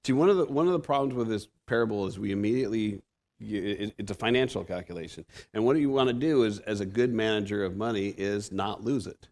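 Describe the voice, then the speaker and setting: A man's voice: lowish voice, quick pace, a little mellow. A man in his fifties with a Pacific Northwest American accent, preaching a conversational sermon as a guest preacher, self-deprecating and often restarting his sentences. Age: 50s. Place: church sanctuary.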